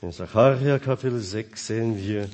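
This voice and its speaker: A man preaching a sermon in German, which is not his first language, asking his listeners questions and swinging between loud and quiet. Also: fairly low pitch, moderate pace.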